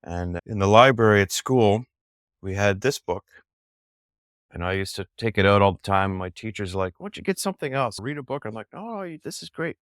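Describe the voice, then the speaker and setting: Low, smooth-voiced man. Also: animated, normal speed, fairly bright tone, no room sound, clean studio sound. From Canada. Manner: enthusiastic, rambling storyteller. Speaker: podcast guest. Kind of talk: casual chat.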